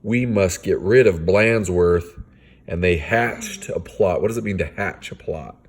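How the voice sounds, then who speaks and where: A man's voice: low-pitched, a little slow, breathy edge. A man reading a picture book aloud as a father to kids, with playful, theatrical delivery and casual asides.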